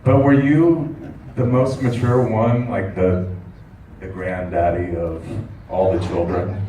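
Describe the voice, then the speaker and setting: Deep mellow guy in the crowd asking a q, rambly, lots of "like", echoey hall. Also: steady tone, slow pace, a little hiss or hum. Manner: rambling, chatty, many fillers. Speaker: audience member. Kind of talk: fan asking a question. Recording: echoey hall, far from mic.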